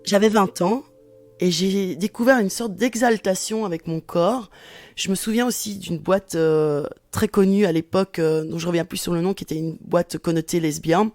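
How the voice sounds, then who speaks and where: A woman's voice: lowish voice, normal speed, darkish tone, dry recording, faint music behind. A woman in her forties, a sociology professor, giving candid and reflective personal testimony in an interview, sometimes restarting her phrases. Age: 40s.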